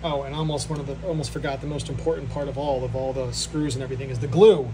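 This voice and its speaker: A man's voice: mid-range voice, fairly even intonation, fast, background noise. A man filming a casual, offhand walkthrough of his home workshop, joking at his own expense. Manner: offhand and self-deprecating, with fillers. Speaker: channel host.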